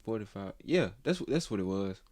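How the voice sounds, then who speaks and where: Low-voiced young man, rambling and profane. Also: animated, moderate pace, darkish tone, dry recording. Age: 20s. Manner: rambling, profane, full of fillers and restarts. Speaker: podcast host. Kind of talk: casual chat.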